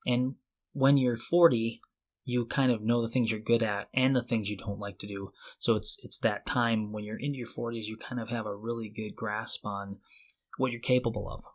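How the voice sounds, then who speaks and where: A man in his forties with an average-pitched voice chats casually as a podcast co-host. He works through his thoughts out loud, in loose and somewhat hesitant phrases. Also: steady tone, normal speed. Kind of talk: casual conversational chat.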